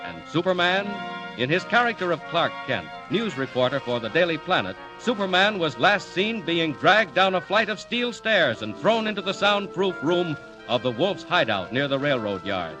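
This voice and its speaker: Old-time radio serial narrator guy, dramatic, swooping fairly high voice over music. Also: very animated, normal speed, rough recording. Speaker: announcer, narrator. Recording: vintage radio broadcast, music behind.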